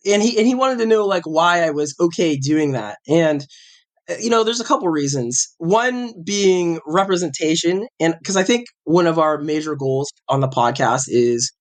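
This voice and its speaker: A man's voice: a little high, lively intonation, moderate pace. Chatty man in his forties, slightly sick. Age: 40s. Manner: rambling, self-correcting, chatty. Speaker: podcast host. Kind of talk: casual podcast chat.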